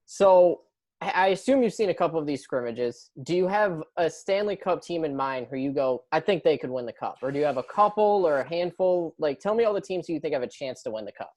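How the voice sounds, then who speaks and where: A man's voice: on the high side, animated, fairly quick, darkish tone. A man hosting a sports podcast, chatting casually and putting questions to his guest. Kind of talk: casual interview chat.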